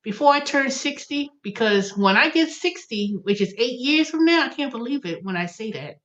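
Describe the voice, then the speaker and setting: A woman's voice: fairly high pitch, lively intonation, normal speed, a bit bright, lo-fi sound. An American woman in her early fifties chatting casually on a livestream, thinking aloud, repeating and correcting herself as she goes. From United States. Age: early 50s. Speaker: livestream host. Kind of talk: casual rambling livestream chat.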